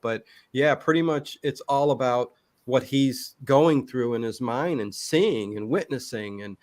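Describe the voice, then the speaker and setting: A man speaks as a guest in a casual interview. He is long-winded, often interrupting himself and starting again. His voice sits at an ordinary pitch in a very dry recording. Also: no room sound. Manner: long-winded, halting with many self-interruptions. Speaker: guest, online show creator.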